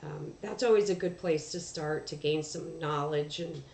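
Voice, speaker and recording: A woman chatting casually on a livestream, congested from allergies, rambling with many ums and throat clears, recorded through a headphone mic across the room at home. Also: low-pitched, normal speed, a little mellow. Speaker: livestream host. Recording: echoey room, faint background noise.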